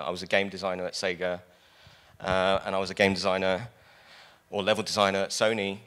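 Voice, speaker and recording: Guy with a loud lowish voice giving a talk on stage, handheld mic, chatty and jokey. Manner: casual, joking with the audience. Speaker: conference speaker. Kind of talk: conference talk. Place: conference hall stage.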